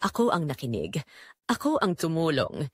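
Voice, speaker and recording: A woman plays a guilty middle-aged mother in an audio drama. She pleads with emotion, her pitch rising and falling widely. The sound is dry, without room echo. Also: huge pitch swings, moderate pace, breathy edge, exaggerated voice. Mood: guilty, defensive.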